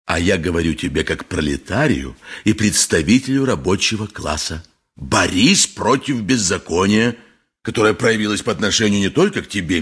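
A money-grubbing radio-play lawyer, oratorical and condescending. The voice is slightly low and rough, with sweeping intonation as he flatters and coaxes his client. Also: full character voice. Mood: rousing, oratorical. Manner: oratorical, patronizing. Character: wheedling, pompous, money-grubbing lawyer posing as workers' champion. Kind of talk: 1980s radio play acting.

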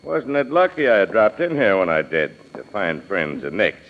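An actor playing a big, menacing gangster with false geniality in an old-time radio drama, his voice deep and gravelly. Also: sing-song, moderate pace. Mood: falsely genial. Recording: muffled old radio recording with reverb.

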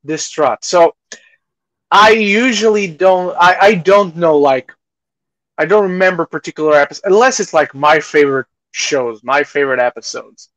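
Higher-voiced man chatting on a podcast. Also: lively intonation, moderate pace, a bit bright, dry recording, rough recording. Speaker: podcast co-host. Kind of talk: casual chat about movies and TV.

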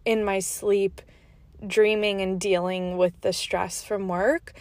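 A woman's voice: medium pitch, flat delivery, slow, a little mellow, no room sound. A young woman hosting her podcast from her car, sounding stuffy and nasal from a cold, telling a rambling, casual story full of "like" with laughs between asides. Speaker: podcast host. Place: inside a parked car.

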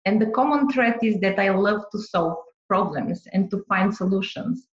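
A woman's voice: medium pitch, moderate pace, echoey room, lo-fi sound. A woman from Bulgaria, a business owner, answering podcast interview questions carefully, with light laughs.

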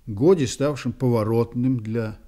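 An actor playing a reflective storyteller who narrates a radio play in a musing, conversational way. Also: male voice, medium pitch, measured pace, mellow, lightly acted. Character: reflective male journalist narrating his friend's story.

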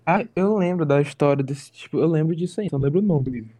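A young man, a podcast guest chatting casually, easygoing and quick to laugh along. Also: fairly high pitch, mellow, no room sound. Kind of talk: casual chat. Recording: faulty mic, thin sound.

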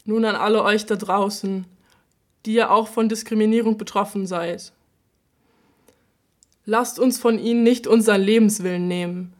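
A woman's voice: medium pitch, fairly even intonation, normal speed, long pauses, natural voice. A woman voicing a queer person who speaks out defiantly against harassment, in a theater radio play monologue. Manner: defiant, impassioned. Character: queer person angrily addressing their harassers.

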